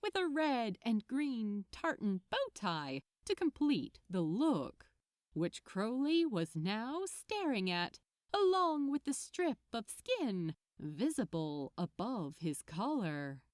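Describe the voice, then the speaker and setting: A woman reads fiction aloud with a highly animated, varied melody. She takes her time over the phrases, in a close, dry recording with little room sound. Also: slow, no echo. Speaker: audiobook narrator. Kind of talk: expressive scripted fiction narration.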